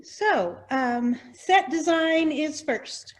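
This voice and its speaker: A woman's voice: high voice, lively intonation, very slow, full character voice. A woman playing an organized, firm yet patient board member in a comedy, talking like a schoolteacher. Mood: brisk, firm. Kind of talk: farcical comedy acting.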